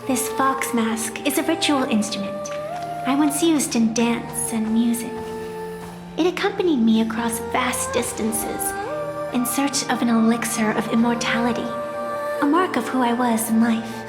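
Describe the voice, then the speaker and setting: A woman plays an ancient female tree spirit in a video game, high-voiced and proud. She speaks theatrically, with wry, playful asides amid formal lines. Her voice rings with hall reverb over clear music. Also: unhurried, lightly acted. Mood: solemn.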